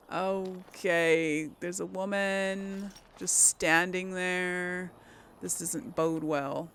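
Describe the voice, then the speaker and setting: A woman's voice: on the low side, creaky. A woman giving casual game commentary to viewers, exclaiming and muttering her reactions as she plays. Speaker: let's play host. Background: game music.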